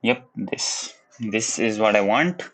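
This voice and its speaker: A young man from Nepal with a Nepali accent, live-streaming his coding practice in casual commentary. He thinks aloud in a relaxed way, hums little la-la tunes as he works, and sometimes drops into Nepali. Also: on the low side, takes it slowly, poor audio. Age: young adult. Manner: laid-back, chuckles, switches into Nepali. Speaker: livestreamer learning web coding.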